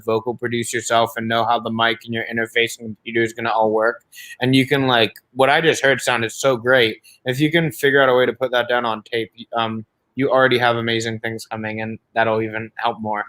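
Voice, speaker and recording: A man's voice: mid-range voice, level tone, a little fast, fairly bright tone, rough recording. A man judging a music showcase who gives long, encouraging feedback that rambles with many fillers. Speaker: showcase judge, songwriter. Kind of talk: casual spoken feedback.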